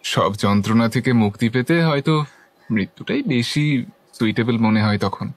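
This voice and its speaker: An actor playing a curious young club member who narrates a ghost story in the first person, with scripted dialogue. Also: male voice, mid-range voice, lively intonation, normal speed, lightly acted. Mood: observant, curious.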